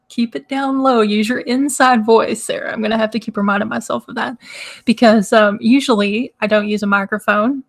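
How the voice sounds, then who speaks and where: A woman hosting a casual live stream, chatty and rambling, with a hoarse, crackly, nasal voice from congestion. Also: fairly high pitch, steady tone, moderate pace. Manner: chatty, rambling, laughs often. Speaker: host. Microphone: microphone.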